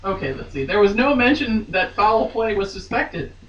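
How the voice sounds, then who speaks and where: A woman's voice: mid-range voice, big pitch swings, normal speed, fairly bright tone, dull audio. A woman co-hosting a podcast, giving a hammy, mocking dramatic reading of a novel with chatty, jokey asides. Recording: roomy, distant mic with faint noise.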